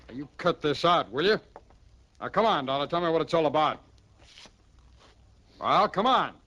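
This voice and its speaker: A man's voice: a little high, lively intonation, normal speed, bright, forceful tone, lo-fi sound. A man playing a blunt, exasperated American police captain in 1950s radio style, scolding and insistent. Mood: insistent, urging. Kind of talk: 1950s radio detective acting.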